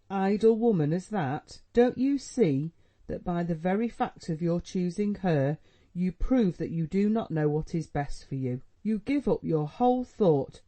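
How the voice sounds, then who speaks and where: A man's voice: high voice, dark tone, pitched above the performer's own voice. A man reading a novel aloud, voicing a proud, anxious middle-aged mother who scolds her son and pleads with him. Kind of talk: audiobook dialogue reading.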